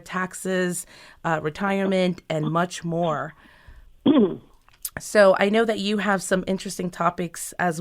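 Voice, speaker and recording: Measured woman radio host, lower voice. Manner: measured, formal reading. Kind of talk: reading scripted radio intro.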